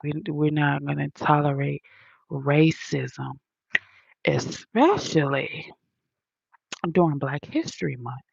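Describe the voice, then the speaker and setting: A woman's voice: sits low, animated, slow, vocal fry, long pauses, a little mellow, slightly rough, dry recording, dull audio. A woman with a Southern accent hosting a livestream, lecturing her chat in an indignant, emphatic way. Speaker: livestream host reading chat. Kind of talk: casual livestream rant.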